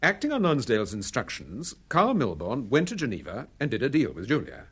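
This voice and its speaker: An actor playing a calm, authoritative amateur detective in a radio drama, assured and precise as he explains. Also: mid-range voice, brisk, slightly rough, exaggerated voice. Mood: measured, explaining.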